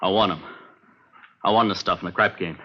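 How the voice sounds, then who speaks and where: An American actor playing a cornered, bitter murder suspect in a vintage radio drama, rough-voiced, defensive and agitated, repeating himself. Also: male voice, low voice, fairly even intonation, fairly quick, a little hiss or hum, lightly acted. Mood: defensive denial. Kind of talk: 1950s radio crime drama acting. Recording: dull vintage radio recording.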